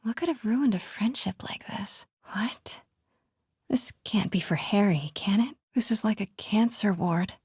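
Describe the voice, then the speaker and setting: A young woman reporter thinks out loud as she investigates in a video game. Her mid-pitched voice is soft and breathy, often hushed, with a caring, determined temper. The sound is close and dry. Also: animated, a little slow, dry recording, heavily acted. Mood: shocked concern. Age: young adult. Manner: natural, musing aloud, often dropping to hushed murmurs. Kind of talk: video game exploration dialogue, musing to herself.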